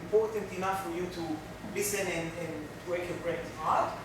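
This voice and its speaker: A man's voice: high-pitched, fairly even intonation, normal speed. A man from Israel with an Israeli accent, giving a workshop lecture in English, speaking carefully and restarting phrases often. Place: large lecture room. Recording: very echoey room, distant mic, noisy.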